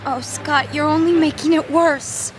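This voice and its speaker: An actress in a radio drama playing an anxious, tender young daughter, hesitant and distressed. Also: female voice, high voice, steady tone, measured pace, noisy background. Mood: sorrowful. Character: anxious, tender daughter torn over her boyfriend.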